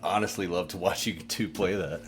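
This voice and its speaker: Deep-voiced man in casual banter. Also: fairly quick, slight background noise. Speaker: player on a tabletop podcast. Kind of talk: casual banter among friends.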